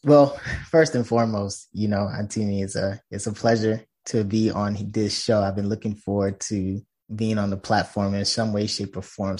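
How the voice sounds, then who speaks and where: A man's voice: on the low side, a little mellow. A man from Nigeria, a professor guest in a conversational interview, explaining earnestly with many fillers and restarts.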